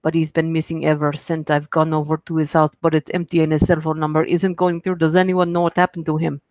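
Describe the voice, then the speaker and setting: A woman's voice: deep voice, little pitch movement, quick pace, a little mellow, no echo. Woman putting on a worried Russian-accented narrator for an internet horror story, close and dry. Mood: anxious. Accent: attempted Russian. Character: scared friend narrating in a put-on Russian accent.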